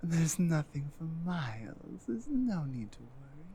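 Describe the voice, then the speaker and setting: A woman playing a strong female fantasy healer, smitten with a travelling companion, in an intimate ASMR audio roleplay. The voice is on the low side and unhurried, halting and breathy, with noticeable noise behind it. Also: big pitch swings, dark tone, exaggerated voice. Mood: sultry, tender.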